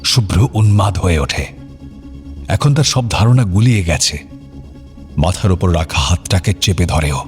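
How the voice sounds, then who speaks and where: A man's voice: sits low, lively intonation, unhurried, pauses often, soft background music. A man narrating an audio story in a solemn, literary and dramatic way.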